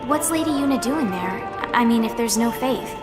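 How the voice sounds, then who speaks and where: A woman voicing a sharp-tongued seventeen-year-old girl sent by a council, who also narrates her own thoughts, heard over background music in a game audio drama. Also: on the high side, expressive, moderate pace, close to an everyday voice. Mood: wary. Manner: sarcastic, dismissive.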